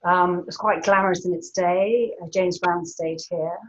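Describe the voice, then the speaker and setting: Woman, 50s, art historian: relaxed slide lecture, somewhat low pitch, muffled online sound. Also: normal speed. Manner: chatty, many ums, light laughs. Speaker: art historian giving a talk. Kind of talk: informal illustrated lecture over slides.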